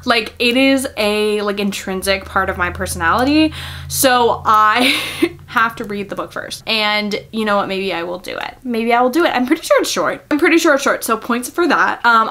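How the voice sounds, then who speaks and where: A woman's voice: mid-range voice, pushed and bright. A young adult woman chatting casually to viewers as a book vlogger, gushing and giggly, with lots of filler words. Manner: gushing, informal, filler-heavy with frequent "like".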